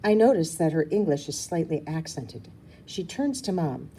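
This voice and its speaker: Female storybook reader, low and mellow, informal, lively intonation, small slips left in. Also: normal speed, a little hiss or hum. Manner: stumbles and corrects herself. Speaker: reader of a children's novel. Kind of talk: storybook read-aloud, informal.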